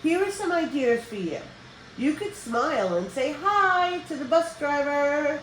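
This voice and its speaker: An older woman reading a children's picture book aloud as a storyteller, with expressive, deliberate phrasing for young listeners. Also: high-pitched. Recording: roomy echo with background noise.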